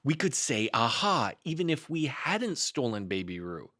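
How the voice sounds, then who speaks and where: A man voicing a slow-witted, humble storybook bear who talks in a simple, earnest way. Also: mid-range voice, big pitch swings, bright, forceful tone, no echo, clean studio sound. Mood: practicing.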